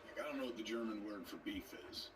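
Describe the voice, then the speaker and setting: A man's voice: fairly low pitch, fast talker, background noise. A man giving a jokey trash-talk promo full of wordplay. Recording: loud music behind.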